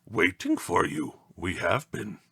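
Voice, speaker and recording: A man voicing an ancient, towering alien mentor in a storybook, speaking solemnly in slow, portentous, inverted phrases. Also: low voice, wildly swooping pitch, vocal fry, bright, forceful tone, slightly rough, no room sound, full character voice. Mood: solemn, mysterious. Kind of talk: storybook character voice.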